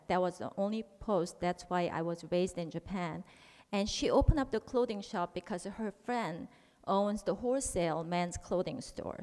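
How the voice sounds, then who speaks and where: A woman's voice: mid-range voice, in a large hall. A middle-aged woman with a Korean accent, whose first language is Korean, giving a personal speech at an event with restarts and broken grammar. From South Korea. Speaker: guest speaker.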